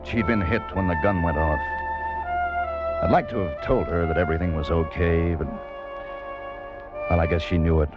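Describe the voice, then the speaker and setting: A man's voice: low voice, steady tone, moderate pace, long pauses, lightly acted. An actor playing a hard-boiled, wisecracking adventurer in an old radio drama, telling his story in a dry, clipped, tough-guy way. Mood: somber, resigned. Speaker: actor narrating as the hero. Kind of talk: 1940s-50s radio drama acting. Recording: muffled old radio recording, loud music.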